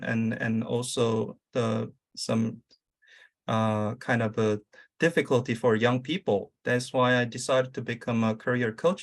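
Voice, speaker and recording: A career coach with a Korean accent speaks English carefully, stopping often to search for words. His pitch sits in the middle range for a man, and he keeps restarting his sentences while presenting to an online audience. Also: a bit bright. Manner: halting, many uh fillers and restarts. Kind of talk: conference talk over video.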